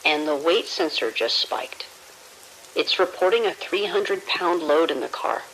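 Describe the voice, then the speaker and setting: A woman's voice: low voice, expressive, measured pace, fairly bright tone, a little hiss or hum, exaggerated voice, low-quality recording. A woman playing a nervous security officer on night shift in an audio drama, speaking hesitantly with stammers. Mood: uneasy, rattled. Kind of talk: immersive audio drama acting.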